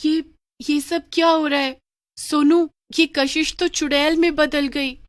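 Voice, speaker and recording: A woman's voice: high-pitched, fairly even intonation, a little slow, no echo, studio quality, pitched above the performer's own voice. A woman voicing an anxious young girl in a cartoon horror story, with frightened, urgent exclamations. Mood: frightened shock.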